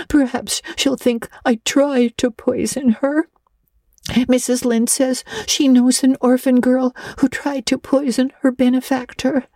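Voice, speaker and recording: A woman's voice: high voice, warm tone, dry recording, voice pushed up. A woman voicing a melodramatic, anguished young schoolgirl in a storybook, stammering and sobbing her words.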